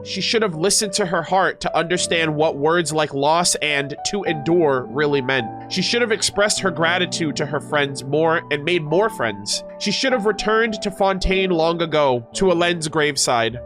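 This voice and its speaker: A man streaming a reaction, reading story text aloud and breaking off into chatty, animated casual commentary. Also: a little high. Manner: chatty, animated asides between read passages. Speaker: streamer reacting to game lore.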